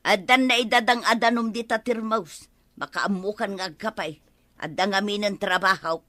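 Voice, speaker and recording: Actress as a bright-voiced, feisty Ilocano village wife. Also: female voice, mid-range voice, normal speed, no echo, lightly acted. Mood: brisk. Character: aggrieved, feisty village wife seeking work. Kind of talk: Ilocano radio comedy drama acting.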